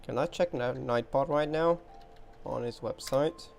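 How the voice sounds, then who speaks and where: A man's voice: medium pitch, unhurried, creaky, a little mellow. A man doing casual live commentary on a game playthrough, chatty and thinking aloud off the cuff. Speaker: game streamer. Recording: game music behind the voice.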